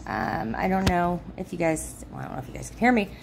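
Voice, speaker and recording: A woman's voice: on the low side, very animated, quick pace, vocal fry, noisy background. A woman in her thirties chatting casually to her followers from a motel room, rambling with many ums and restarts, recorded on a phone at arm's length. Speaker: vlogger. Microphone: phone held at arm's length.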